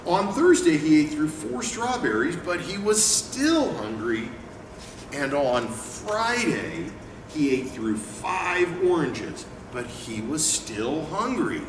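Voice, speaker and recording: A man's voice: fairly high pitch, very animated, slow pace. Man in an echoey, noisy room. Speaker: educator and book company owner reading aloud. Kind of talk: picture book read-aloud and informal teaching talk. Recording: echoey room, distant mic, clear background noise.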